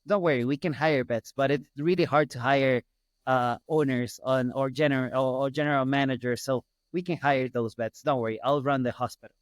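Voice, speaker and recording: Man, middle-aged, Ecuadorian Spanish accent, smooth clear tone, conversational storytelling, repeats for emphasis, dry sound. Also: mid-range voice, steady tone, moderate pace, no echo. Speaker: podcast guest, hospital business owner. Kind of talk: casual interview storytelling.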